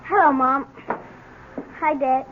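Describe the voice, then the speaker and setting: A woman's voice: high-pitched, steady tone, takes it slowly. Polite teenage boy on noisy radio. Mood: polite, subdued. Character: polite fourteen-year-old boy, dutiful son. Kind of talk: 1970s radio drama acting. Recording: noisy, dull old radio recording.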